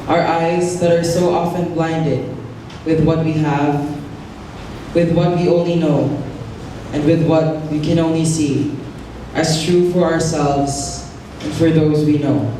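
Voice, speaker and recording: Filipino man, stage narrator, grave oratory, very slow delivery, echo-heavy distant hall. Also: on the high side, fairly even intonation, slight background noise. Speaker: narrator introducing a stage play. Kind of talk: solemn scripted stage prologue. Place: large hall, stage play recorded from afar. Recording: echoey distant hall recording with loud music. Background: loud music.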